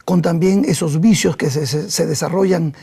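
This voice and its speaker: A man's voice: on the high side, moderate pace, warm tone, breathy edge. A man with a Peruvian accent, a pastor interviewed as a guest, recalling his childhood and often repeating words as he searches for them. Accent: Peruvian Spanish. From Peru.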